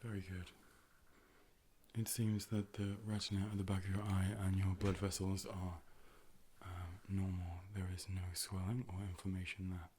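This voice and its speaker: A man playing a calm, polite robot optician in an ASMR role play, speaking softly and at times whispering measured, formal clinical instructions. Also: deep voice, slight background noise, natural voice. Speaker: ASMR performer playing an eye doctor. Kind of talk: soft-spoken ASMR medical role play, partly whispered. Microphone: close binaural microphone.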